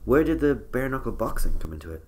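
A man's voice: medium pitch, big pitch swings, quick pace, a little mellow, a little hiss or hum. A man narrating a scripted autobiographical audio drama. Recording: prominent music under narration.

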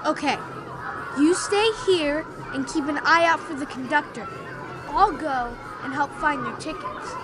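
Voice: sits high, measured pace, crowd noise, noisy background. An actor playing a brave, reassuring young boy in a video game, speaking in an earnest, encouraging way. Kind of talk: video game cutscene acting.

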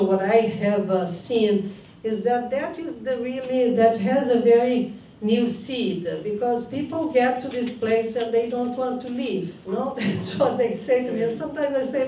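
A woman's voice: mid-range voice, mellow, slight background noise. An older woman speaking on a panel, searching for words and adding "no?" tags, on a handheld mic in a conference room. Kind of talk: panel discussion talk. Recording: roomy hall from a distant mic.

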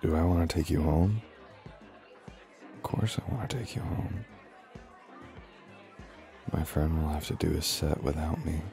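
Flirty, commanding former professor, acted by a man: extremely deep, creaky, breathy delivery for intimate ASMR roleplay. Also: measured pace, pauses often, dark tone, faint music behind, some chatter behind, heavily acted. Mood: dominant, seductive. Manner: breathy, murmured, teasing, often dropping to near-whisper.

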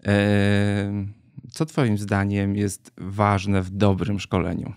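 A man speaking as a podcast guest, explaining his work in a reflective, halting, conversational way. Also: on the low side, level tone, a little mellow, clear, resonant tone, dry recording, studio quality. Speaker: podcast guest, brand strategist. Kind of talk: thoughtful interview conversation.

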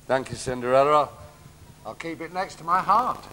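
A man's voice: fairly high pitch, lively intonation, moderate pace, pushed and bright. An older man playing a doting, jokey baron father in broad pantomime style on a theatre stage. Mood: tender. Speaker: pantomime actor. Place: large theatre stage, filmed from the audience. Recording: echoey noisy theatre stage recording.

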